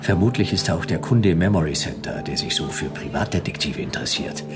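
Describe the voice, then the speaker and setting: A man's voice: low voice, animated, fast talker, over music. A man playing a world-weary private detective in a radio drama, speaking in dry, laconic, clipped phrases. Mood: wry. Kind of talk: noir radio drama narration and dialogue.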